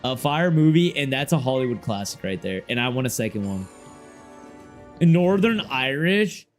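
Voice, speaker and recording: A young man streaming a game and chatting casually with his viewers, rambling in heavy slang and often trailing off quieter. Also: mid-range voice, big pitch swings, normal speed. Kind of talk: casual livestream chat, reading chat aloud. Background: faint game music.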